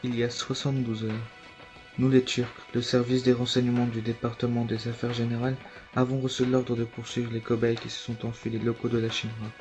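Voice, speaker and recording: Here is a man playing a serious intelligence agent in an anime dub, recounting events gravely. His pitch stays very flat and level, with soft music underneath. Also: brisk, dull audio, lightly acted. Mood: grave, reflective. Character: grave adult male secret agent recounting a manhunt. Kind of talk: amateur French dub of anime, scripted narration.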